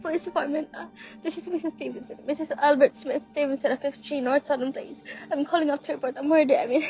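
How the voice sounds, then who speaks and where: Radio drama: woman as anxious, demanding invalid wife, shrill-high register, agitated, indignant. Muffled recording, music underneath. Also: steady tone, fast talker, dark tone. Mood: frantic, exasperated. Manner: agitated, indignant, insistent, fretful. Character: anxious, demanding, imperious bedridden married woman. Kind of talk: radio play acting.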